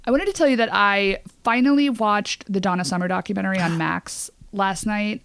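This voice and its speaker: A woman's voice: mid-range voice, clean tone, no echo. A woman co-hosting a podcast in casual chat, talking in a gushing, emphatic, slangy way. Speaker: podcast co-host.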